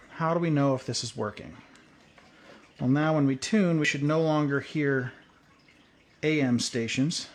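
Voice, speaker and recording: A man's voice: medium pitch, vocal fry, a little hiss or hum. Man thinking aloud at a workbench. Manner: relaxed, thinking aloud while working. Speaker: video host. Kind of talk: hands-on tutorial.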